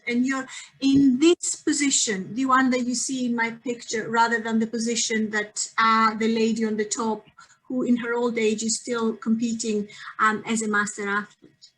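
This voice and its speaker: Greek lady giving a zoom talk, kinda high voice, lots of ums and restarts. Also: fairly bright tone, low-quality recording. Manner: friendly, many ums and restarts. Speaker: lecturer. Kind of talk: online lecture on health. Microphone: video call.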